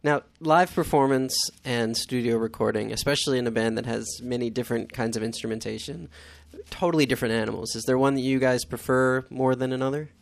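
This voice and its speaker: A man's voice: mid-range voice, fairly quick, gravelly, few pauses. Easygoing man hosting a radio show. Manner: rambling, repeats words while thinking, easygoing. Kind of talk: casual radio interview. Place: radio studio.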